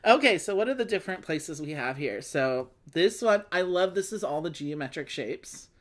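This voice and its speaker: Animated, low-pitched woman, playful and wry. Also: moderate pace. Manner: playful, wry joking asides. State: slightly phlegmy. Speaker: host of a board game play-along livestream. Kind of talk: casual chat with read-aloud game text.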